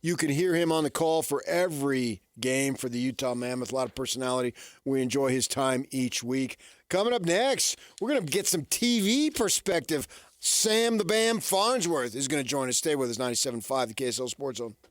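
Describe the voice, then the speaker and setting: A man's voice: medium pitch, a little fast. A man hosting a sports radio show, talking casually in a rambling monologue and often answering his own questions. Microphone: studio radio microphone.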